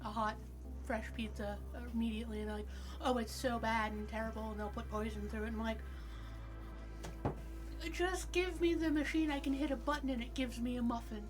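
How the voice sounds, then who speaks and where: A woman player at a tabletop game, chatting casually and telling stories in an animated, quote-filled way. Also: on the high side, a little fast, over music, poor audio. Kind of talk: casual chat.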